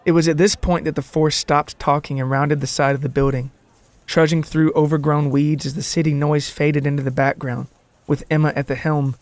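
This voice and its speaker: A man's voice: fairly high pitch, flat delivery, normal speed, dry recording. A man narrating a scripted podcast story in a dramatic, tongue-in-cheek way. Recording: music behind the voice. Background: background music.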